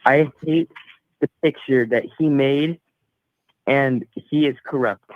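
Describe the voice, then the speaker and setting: A man's voice: medium pitch, slow, no room sound. A man calling in to a radio show, giving short casual answers over a dull lo-fi phone call.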